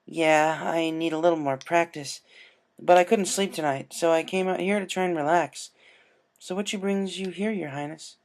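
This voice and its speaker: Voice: medium pitch, normal speed, pitched above the performer's own voice, lightly acted. A narrator voicing an earnest, subdued young female pegasus pony who talks casually and hesitantly, in a story reading.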